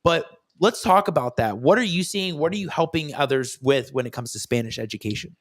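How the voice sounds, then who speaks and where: A man hosting an interview, asking questions in a halting way and searching for words. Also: fairly high pitch, lively intonation. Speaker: host.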